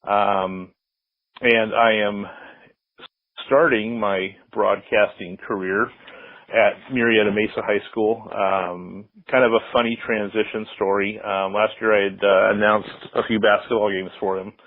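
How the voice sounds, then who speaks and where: Low-voiced American man on a roomy, thin line. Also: little pitch movement, measured pace. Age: middle-aged. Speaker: interview guest, sports public address announcer. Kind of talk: casual conversational interview answers. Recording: roomy, slightly muffled phone-like line.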